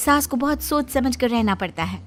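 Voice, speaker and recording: A woman plays a stubborn young daughter-in-law in a cartoon family drama. She answers back with pert, mock-sweet cheek in a high-pitched voice, with music behind her. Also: lively intonation, a little fast, a bit bright. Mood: teasing. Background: background music.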